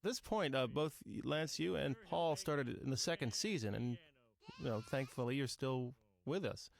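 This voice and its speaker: A man with an average-pitched voice leads a commentary track. He talks casually and conversationally, prompting his colleagues to comment. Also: normal speed, vocal fry. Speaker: director leading the commentary. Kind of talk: casual moderated audio commentary.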